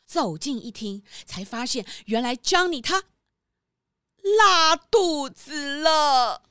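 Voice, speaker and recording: A woman's voice: high voice, lively intonation, takes it slowly, pushed and bright, dry recording. A woman narrating a scripted children's story as the narrator of an audio drama.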